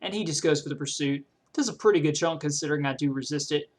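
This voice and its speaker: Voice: mid-range voice, fast talker. A video creator giving conversational play-by-play game commentary, explaining each decision as they think it through aloud. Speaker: gaming video creator commentating own battle. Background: music behind the voice.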